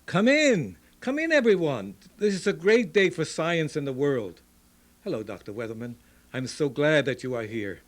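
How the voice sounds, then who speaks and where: A man playing an excited, courteous scientist and inventor in an old-time radio drama, with a grand, deliberate delivery. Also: fairly high pitch. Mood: proud, welcoming. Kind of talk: Golden Age radio drama acting.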